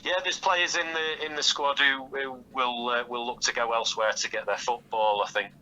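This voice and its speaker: A man giving measured, halting answers as a football manager interviewed over the phone, often repeating words as he goes. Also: medium pitch, fairly even intonation, pushed and bright. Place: phone call. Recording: dull, lightly noisy phone call audio.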